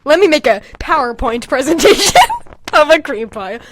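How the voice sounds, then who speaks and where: A young woman hosting a podcast, casually reading aloud and mocking her own writing in an animated, sweary, giggly way. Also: sits high, moderate pace, a little hiss or hum. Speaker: podcast host. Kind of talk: casual comedic commentary while reading own old fanfiction aloud.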